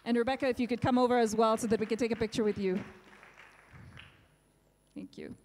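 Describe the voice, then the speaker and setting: A woman's voice: fairly high pitch, steady tone, a little fast, a little mellow. A woman hosting an awards event, announcing winners in an upbeat way, calling for applause, and speaking into a handheld microphone on a stage. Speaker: event host. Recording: reverberant event hall.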